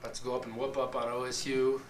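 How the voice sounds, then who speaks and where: A man's voice: mid-range voice, flat delivery, fast, far from the mic. Scratchy-voiced young man cracking jokes. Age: young adult. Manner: wisecracking, boastful. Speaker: college football player. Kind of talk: joking interview to camera. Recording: echoey room, off-mic, ambient noise.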